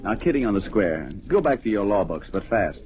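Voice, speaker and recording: An actor playing a wisecracking, gruffly protective police sergeant with sardonic, slangy patter in 1940s radio style. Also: male voice, lowish voice, very animated, brisk, noisy background. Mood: exasperated, warning.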